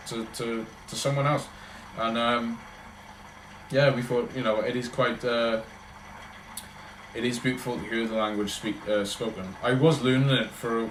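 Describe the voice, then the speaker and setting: A man's voice: medium pitch, a bit bright, roomy sound, a little hiss or hum, rough recording. A man with a Welsh accent, chatting casually and rambling on a livestream as he answers his viewers. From Wales. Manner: rambling, chatty, talks in loose run-on thoughts.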